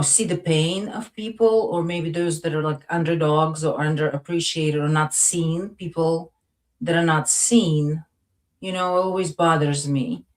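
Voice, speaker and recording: A woman's voice: low voice, a little mellow. A woman from Latvia speaking modestly and hesitantly as a guest in a casual, personal conversation. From Latvia, then part of the Soviet Union. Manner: modest, hesitant, searching for words. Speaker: interview guest.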